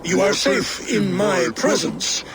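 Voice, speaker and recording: A booming giant lord. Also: male voice, heavy background noise. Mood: warning. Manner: slow, booming, theatrical. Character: giant jotun lord Suttungr, boastful host. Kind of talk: video game cutscene acting. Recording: game audio with loud ambience.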